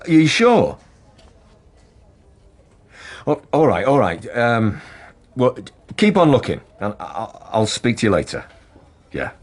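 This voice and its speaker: A man's voice: medium pitch, heavily acted. A man playing a harried, rambling police detective in a radio drama, droll and easily flustered. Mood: distracted, worried.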